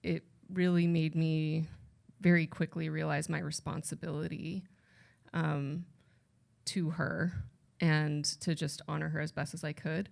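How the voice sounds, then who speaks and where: A woman's voice: sits low, little pitch movement, measured pace, creaky, a little mellow. A woman translator speaking on a panel at a library event, thoughtful and rambling, often correcting herself mid-sentence. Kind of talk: casual panel talk.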